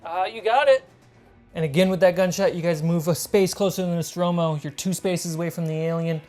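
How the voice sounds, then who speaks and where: Casting: female game master, lower-pitched voice, narrating tabletop play over faint music. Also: a little fast, darkish tone. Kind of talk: running a tabletop role-playing game.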